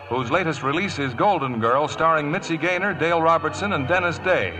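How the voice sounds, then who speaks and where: An American man working as a 1950s radio announcer, reading scripted copy with polished, measured enunciation. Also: on the high side, low-quality recording. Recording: vintage radio broadcast with music. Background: music.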